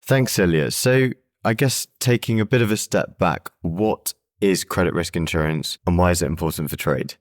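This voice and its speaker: A man, the editor and regular host, interviewing a guest at a trade conference in a brisk, upbeat way. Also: deep voice, no room sound, clean studio sound.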